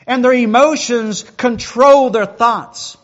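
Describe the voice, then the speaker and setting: A man's voice: very high-pitched, slow, dull audio. A man preaching a sermon who often repeats words as he builds a point.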